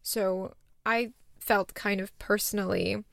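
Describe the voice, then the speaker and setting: Chatty woman with a gravelly edge. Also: mid-range voice, steady tone, takes it slowly, a bit bright, dry recording, studio quality. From Singapore. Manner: chatty and self-deprecating, often laughs at herself. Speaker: startup founder, guest. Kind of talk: casual interview chat.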